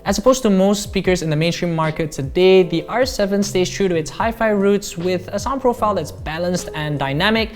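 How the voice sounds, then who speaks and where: A man's voice: sits high, brisk, few pauses, faint music behind. A man in his thirties with a Malaysian accent, narrating a scripted product review as a tech reviewer.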